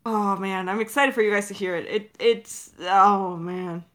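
A woman's voice: mid-range voice, fairly even intonation, unhurried, a bit bright. A woman hosting a casual Q&A as a director, effusive and very informal in the way she talks. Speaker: director and Q&A host. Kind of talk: casual chat.

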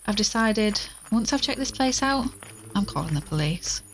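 A woman's voice: medium pitch, expressive, moderate pace, lightly acted. An actress in an audio drama playing an exhausted, anxious but determined woman who is recording a spoken log. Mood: worried, resolute. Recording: clearly noisy outdoor recording with crickets.

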